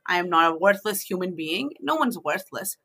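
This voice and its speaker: Female discussion host, bright tone, conversational and emphatic, sharing advice informally. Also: fairly low pitch, expressive, moderate pace, slightly rough. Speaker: host in a two-person discussion.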